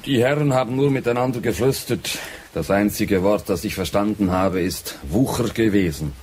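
An actor playing an earnest, dutiful younger man in a radio drama, with a husky voice. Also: fairly low pitch, normal speed, darkish tone, slight background noise, natural voice.

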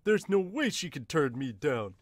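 A man's voice: on the high side, very animated, moderate pace, no echo, clean studio sound. A man voicing a proud, selfish sumo champion from a manga, boastful and entitled in manner.

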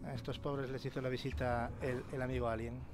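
A man's voice: medium pitch, flat delivery, fairly quick. A man with a Castilian accent from Spain giving casual let's-play commentary, colloquial and sweary, muttering to himself as he goes. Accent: Castilian Spanish from Spain. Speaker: gaming channel host. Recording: clearly noisy, game ambience behind.

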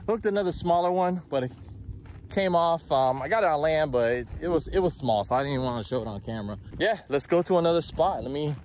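A man's voice: fairly high pitch, lively intonation, quick pace, darkish tone. Fishing vlogger, male, 40s, chatty and upbeat, handheld camera outdoors, a bit winded, faint background noise. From South Florida. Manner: chatty, self-talking, upbeat. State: out of breath from heat. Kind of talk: casual outdoor vlog. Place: outdoors by a canal and in a truck. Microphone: handheld camera at arm's length.

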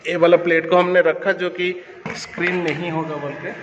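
A man's voice: fairly high pitch, flat delivery, normal speed, in a large hall, highs cut off, background noise. A man giving a casual walkthrough of his cable tray work in a large indoor server room, explaining step by step while filming on a handheld phone. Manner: explanatory, pointing things out step by step. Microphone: handheld phone camera.

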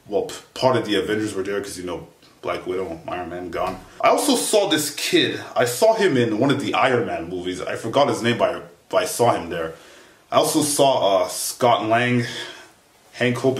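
Young man, casual reviewer in echoey room. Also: on the low side. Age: young adult. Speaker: film reviewer. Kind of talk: casual spoken movie review to camera. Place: small wood-furnished bedroom, indoors.

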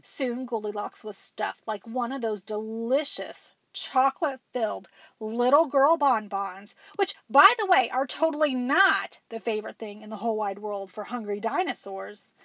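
An American woman in her forties reading a children's story aloud as a school librarian, animated and emphatic. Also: a little high, moderate pace, no echo. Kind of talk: expressive storybook read-aloud.

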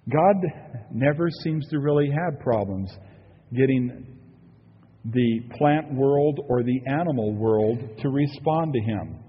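A man's voice: mid-range voice, slow, slightly rough, big-room sound, phone-quality sound. A male pastor giving an earnest, conversational sermon, often saying "you know". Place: church sanctuary.

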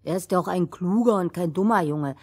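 A woman's voice: on the low side, brisk, darkish tone, husky. A woman narrating a children's fairy tale as a cheeky, interjecting second storyteller.

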